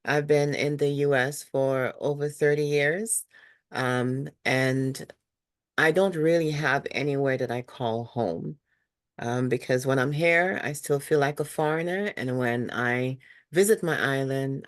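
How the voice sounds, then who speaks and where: Low-voiced woman spoken word artist. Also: measured pace, dry recording, clean studio sound. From Saint Lucia. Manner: reflective, frequent ums. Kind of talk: artist panel introduction.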